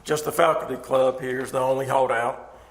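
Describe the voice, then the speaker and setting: A man's voice: mid-range voice, steady tone, fairly quick, lightly acted. A man playing a weary, fatalistic faculty member in a radio thriller, hesitant and understated. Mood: distracted, resigned. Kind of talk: old-time radio thriller acting. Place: live staged performance at a winery. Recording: noisy live stage recording.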